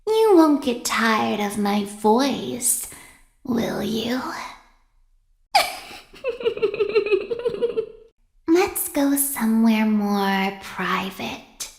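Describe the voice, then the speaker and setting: A sadistic bird machine from a horror game. Her highish, creaky voice moves in lively swoops, teasing and predatory, with a clear echo. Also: heavily acted. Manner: slow, taunting, breathy line endings. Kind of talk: horror video game villain lines. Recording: echoey.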